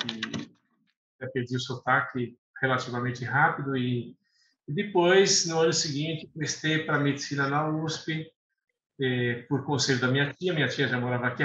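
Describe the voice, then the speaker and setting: A man in his sixties, born in Lebanon with Arabic as his first language, talking unhurriedly as an interview guest, with fillers and restarts. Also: mid-range voice. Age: 60s. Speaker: guest, eye doctor. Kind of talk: conversational interview. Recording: echoey room, slightly dull sound, faint noise.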